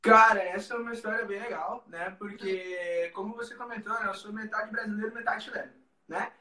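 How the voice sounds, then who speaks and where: A Brazilian man, a language teacher, joining a livestream by video call and talking in a friendly, relaxed way. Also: high-pitched, fairly quick, echoey room, distant mic. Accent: Brazilian Portuguese. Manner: friendly, relaxed, many "né" tags. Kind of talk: guest on livestream.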